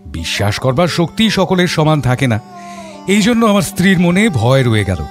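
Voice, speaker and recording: A man narrating an audio story as a thoughtful father, in a measured, literary storytelling manner. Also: fairly high pitch, big pitch swings, faint music behind. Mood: reflective. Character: thoughtful middle-class father telling his story.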